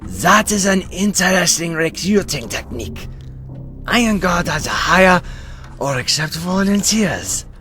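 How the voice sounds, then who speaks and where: An actor playing a grumbling, wry soldier companion in a fantasy audio drama. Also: male voice, high-pitched, lively intonation, measured pace, exaggerated voice. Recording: loud music behind the voice.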